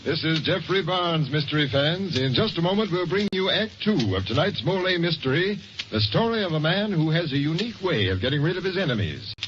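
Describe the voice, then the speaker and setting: A man's voice: fairly high pitch, sing-song, fairly quick. A man working as a program announcer in a 1940s radio style. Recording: noisy, muffled old radio broadcast.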